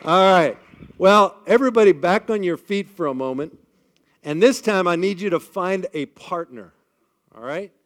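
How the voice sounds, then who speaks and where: A man from the US Midwest with a big booming voice, leading an audience through an icebreaker at a live event and checking in with "all right?" as he goes. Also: moderate pace. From Midwestern United States. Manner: energetic, upbeat crowd-rallying with frequent "all right?" checks. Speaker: event speaker leading the group. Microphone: amplified on a microphone.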